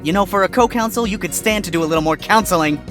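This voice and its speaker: An actor voicing a wisecracking male fox defense attorney who talks quickly and wryly. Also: medium pitch, over music, lightly acted. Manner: quick, wry, exclaiming objections.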